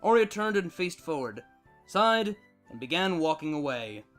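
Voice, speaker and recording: An expressive narrator reads a story from a script. The pitch sits in an ambiguous middle register and moves with lots of variety. Faint music runs behind the reading. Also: unhurried. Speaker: narrator, fan fiction reader.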